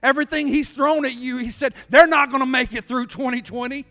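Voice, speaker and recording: A man's voice: very high-pitched, fairly even intonation, fairly quick, pushed and bright. A man preaching an impassioned sermon to a congregation, emphatic and exhorting, building on repeated rhetorical refrains. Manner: repetitive, building rhetorical refrains with call and response. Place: large reverberant hall. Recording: reverberant hall with music underneath. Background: music under the preaching.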